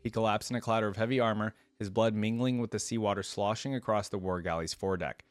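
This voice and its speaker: Low-voiced man in a dry recording. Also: steady tone, quick pace, dry recording. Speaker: reaction video host. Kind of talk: casual reaction commentary mixed with reading lore text aloud.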